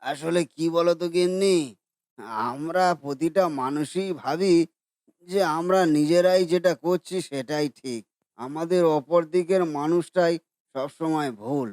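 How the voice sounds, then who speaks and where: A man voicing a regretful middle-aged father in a cartoon, speaking in a measured, reflective way. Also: a little high, darkish tone, dry recording, clean studio sound.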